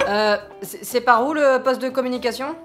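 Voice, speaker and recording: A woman's voice: high-pitched, moderate pace, heavy background noise, lightly acted. A woman playing an eager, simple-minded young male soldier in a comic audio drama, stammering and repeating words. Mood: earnest. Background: music.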